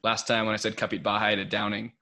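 A man's voice: fairly low pitch, level tone, quick pace, pushed and bright. Laid-back American man hosting a podcast. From United States. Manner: laid-back and chatty, laughs often, many fillers. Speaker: podcast host. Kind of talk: casual podcast chat mixing English and Tagalog.

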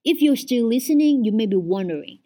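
A woman's voice: fairly high pitch, animated, a little fast, mellow. A young woman in her twenties from Vietnam, a native Vietnamese speaker with a Vietnamese accent, hosting her own podcast at home in an earnest, chatty way, often saying "like". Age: 20s. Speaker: podcast host. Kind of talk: casual solo podcast chat.

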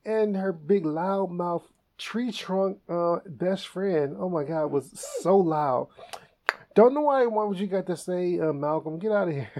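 A man hosting a comedy podcast, telling stories in a casual, animated way and laughing often. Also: high voice, unhurried. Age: adult. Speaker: podcast host.

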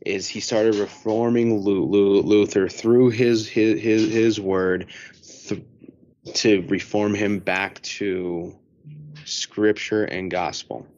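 A man's voice: fairly low pitch, fairly even intonation, takes it slowly, a little mellow, no room sound. A man around thirty, chatting on a podcast and searching for his words. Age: 30s. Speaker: podcast co-host or guest. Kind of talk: casual theology podcast chat. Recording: slightly dull, lo-fi audio.

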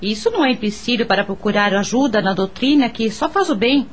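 Kindly neighbour for radio drama: fairly high voice, gentle and persuasive. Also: female voice, animated, brisk. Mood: gently insistent. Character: discreet, kindly, well-meaning neighbour woman. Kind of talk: radio soap opera acting.

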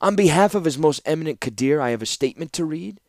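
A man's voice: on the high side, lively intonation, quick pace, darkish tone, no echo, voice pushed up. Male envoy, acted by a male narrator, formal, assured, declamatory, raised voice, dry fantasy audiobook. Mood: formal courtesy. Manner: declamatory, courteous but firm. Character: formal, self-assured male envoy speaking for his ruler.

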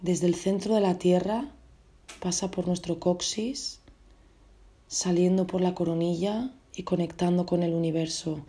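A woman with a lowish, very mellow and relaxed voice leads a guided meditation. She gives her instructions gently, in short phrases, leaving space between them. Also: measured pace.

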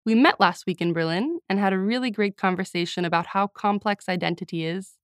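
A woman's voice: medium pitch, fairly quick, smooth. A woman interview host speaking in a thoughtful, curious, conversational way. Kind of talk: conversational interview with scripted introduction.

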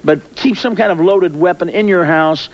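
A man speaks bluntly and emphatically as a talk-show guest comedian, stumbling over repeated words. His voice sits high, and the recording sounds dull and lo-fi, like old broadcast audio. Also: normal speed. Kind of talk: casual talk-show chat.

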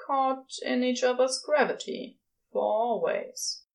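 A woman voicing a reserved, tender male demon who speaks haltingly in an audiobook-style fan fiction reading. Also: sits high, pitched above the performer's own voice. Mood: wistful. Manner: hesitant, halting.

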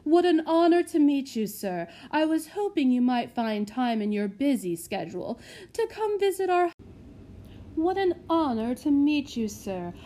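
Woman doing a gushing, flattering house matron. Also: high-pitched, measured pace, voice pushed up. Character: fawning, gushing middle-aged woman running a house for children.

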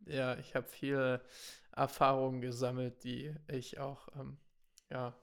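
A man's voice: mid-range voice, measured pace, gravelly, clean tone. Young German man telling stories. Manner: relaxed storytelling, laughs often, mixes in English words. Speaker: podcast co-host. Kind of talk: casual chat.

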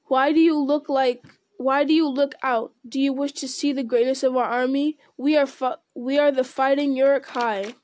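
Woman putting on orc warriors yelling taunts, kinda high, loud and slow. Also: fairly even intonation, natural voice. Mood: jeering. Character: jeering horde of brutish orc warriors.

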